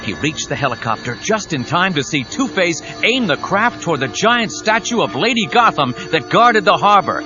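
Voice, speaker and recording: A man's voice: fairly high pitch, sing-song, moderate pace, few pauses. A man narrating an action audio drama with urgent, suspenseful scripted delivery. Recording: dull cassette audio with dramatic music.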